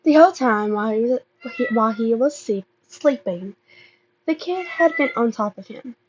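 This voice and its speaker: A woman reading fan fiction aloud as an amateur narrator, halting and often stumbling over and repeating words. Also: a little high, expressive, dull audio, a little hiss or hum. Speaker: amateur podfic reader.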